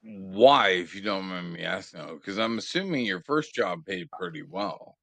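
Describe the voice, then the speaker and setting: A man's voice: low voice, lively intonation, moderate pace, creaky, sharp tone, dry recording. A man hosting his own interview show, asking questions in a casual, enthusiastic and blunt way, swearing freely. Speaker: interviewer and channel host.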